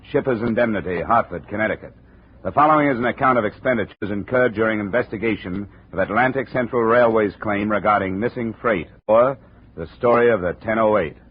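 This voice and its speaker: A dry, cool insurance investigator. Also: male voice, on the low side, fairly quick, husky. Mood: narrating. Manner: dry and matter-of-fact. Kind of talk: 1950s radio drama narration and dialogue. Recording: dull old radio transcription.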